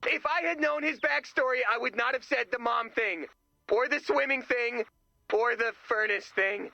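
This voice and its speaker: A high-pitched, anxious young camper in a video game. He babbles bluntly in a very sharp, forceful tone with a creaky, fried edge. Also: normal speed, dry recording, dull audio, heavily acted. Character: nervous, tactless young male summer camper. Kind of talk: animated video game voice acting.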